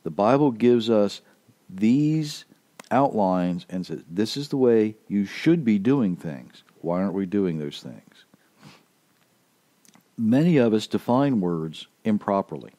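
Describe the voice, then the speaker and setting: A man's voice: lowish voice, animated, slow pace, dark tone, dry recording. A man preaching a casual podcast sermon in an earnest, emphatic way, going back over his key points, his voice a little worn. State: slightly losing his voice.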